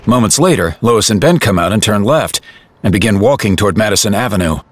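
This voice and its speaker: Male narrator for audio drama: lowish register, highly animated storytelling, sound effects faintly behind. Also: quick pace, background noise. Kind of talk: dramatic scripted narration, present tense.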